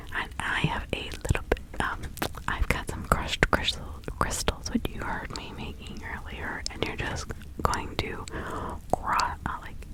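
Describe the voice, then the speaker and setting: A woman playing a helpful nature witch in a whispered ASMR roleplay, giving instructions in a breathy, halting, conversational way. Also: natural voice, rough recording. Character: practical, helpful nature witch advising a client in 1656.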